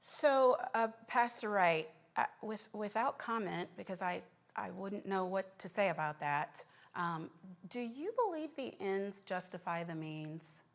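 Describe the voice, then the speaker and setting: A woman's voice: medium pitch, animated, measured pace. A woman hosting a talk show and moderating a panel discussion.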